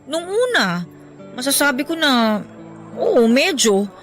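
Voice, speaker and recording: A woman's voice: high voice, very animated, takes it slowly, fairly bright tone, faint music behind, exaggerated voice. A woman with a Filipino accent playing a stressed young breadwinner who confides her heartbreak plaintively in a Tagalog radio drama. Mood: wistful, burdened.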